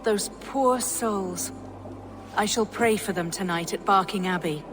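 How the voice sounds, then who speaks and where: A woman's voice: medium pitch, close to an everyday voice. Woman acting a pious medieval abbess, noisy backdrop. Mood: solemn. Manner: formal, measured, archaic phrasing. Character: pious, bookish, courteous medieval English abbess. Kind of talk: dramatic historical video game dialogue.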